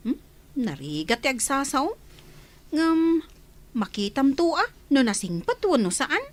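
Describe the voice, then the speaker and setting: A woman's voice: sits high, very animated, a little slow. A woman with an Ilocano accent playing a guarded, evasive housemaid in a village comedy radio drama. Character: experienced housemaid, evasive, guarded, deferential to her madam.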